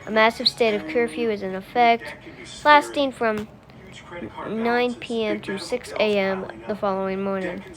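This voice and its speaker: A woman reading a scripted police emergency announcement in an amateur, halting way, repeating and restarting phrases. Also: mid-range voice, music in the background. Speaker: police spokesperson in a mock emergency broadcast. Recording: clear crowd noise behind voice.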